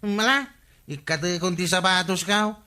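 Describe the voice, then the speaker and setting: Man playing a high-pitched, incredulous village helper. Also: moderate pace, background noise, natural voice. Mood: mocking. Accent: Ilocano Filipino. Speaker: comedy drama actor. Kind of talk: broad Ilocano radio comedy acting.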